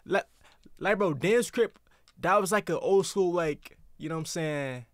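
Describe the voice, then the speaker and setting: A young man from the US East Coast reacting to a music video on his home stream, excitable and rambling, saying "bro" after nearly every phrase. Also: sits high, measured pace, no room sound, studio quality. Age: young adult. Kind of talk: casual reaction commentary. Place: home streaming room, indoors. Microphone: headset with desk mic close up. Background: faint song playing.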